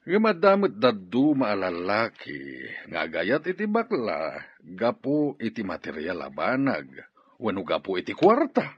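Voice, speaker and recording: Audio drama role: philosophizing jovial boss, Ilocano accent, swooping husky voice, dullish recording. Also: male voice, fairly low pitch, wildly swooping pitch, a little slow, no echo, lo-fi sound, exaggerated voice. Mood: musing, amused. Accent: Ilocano Filipino. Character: male boss, philosophizing about love, jovial. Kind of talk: Ilocano radio-style drama acting.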